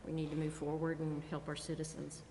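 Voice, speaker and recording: A woman's voice: low-pitched, monotone, fairly quick, warm tone. A woman speaking as a council member at a public meeting. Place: council chamber. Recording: echoey hall, faint noise.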